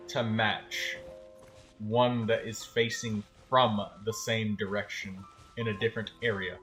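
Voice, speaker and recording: Low-voiced man coaching through a video game. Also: level tone, measured pace, a bit bright, soft background music. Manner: instructive, colloquial. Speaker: livestream game host. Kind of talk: casual gameplay commentary and coaching.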